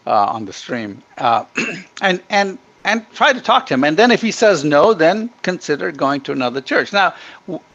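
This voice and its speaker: A middle-aged man from Ghana giving earnest, opinionated interview answers, emphatic and halting as he restarts his sentences. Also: fairly high pitch, sing-song, a bit bright. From Ghana, grown up in Africa and the Middle East. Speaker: podcast guest. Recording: dull, muffled lo-fi connection.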